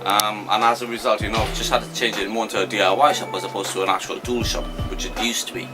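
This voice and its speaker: A man's voice: on the low side, flat delivery, quick pace, over music. A man in his forties from Trinidad, a shop owner giving earnest, rueful interview answers full of fillers. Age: 40s.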